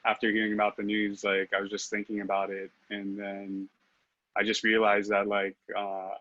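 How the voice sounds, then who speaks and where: A man's voice: on the low side, monotone, normal speed, pushed and bright, muffled recording. A man, raised in Los Angeles, chatting as a podcast guest, hesitant with many fillers and restarts. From Philippines, raised in Los Angeles. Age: 30s. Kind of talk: casual interview chat.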